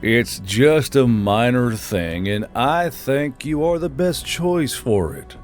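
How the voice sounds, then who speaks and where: Man acting weary male leader, matter-of-fact, mid pitch, measured, storybook fantasy. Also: lively intonation, soft background music. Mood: patient, weary. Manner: measured, murmuring.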